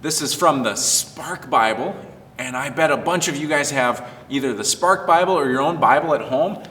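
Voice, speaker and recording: A man's voice: medium pitch, lively intonation, moderate pace, a bit bright, slight background noise. Man talking to kids in a reverberant room. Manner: simple, explanatory address to children. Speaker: pastor and children's storyteller. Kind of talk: casual children's storytime talk.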